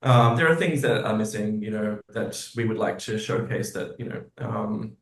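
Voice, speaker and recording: A man chatting casually as an interview guest, halting and often restarting his thoughts mid-sentence. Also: on the low side, slight background noise. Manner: halting, self-interrupting, rambling. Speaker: guest, musical theatre writer. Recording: echoey room.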